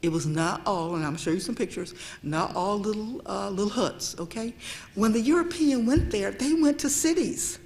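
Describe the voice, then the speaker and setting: A woman's voice: mid-range voice, moderate pace, a little mellow. A woman speaking as a guest at a church event. She talks conversationally, checks in with the audience and drops to a near whisper to stress a point. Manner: conversational, asks the audience "okay?". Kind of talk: public talk on history. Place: church meeting room.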